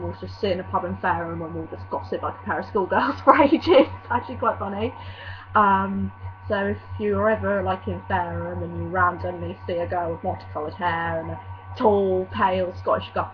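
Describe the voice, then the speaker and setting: A young woman from vlogging casually, rambling and apologetic, her nose slightly stuffy from a cold. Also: on the low side. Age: young adult. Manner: rambling and self-apologetic, with many fillers. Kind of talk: casual chatty vlog. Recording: dull lo-fi recording with faint hum.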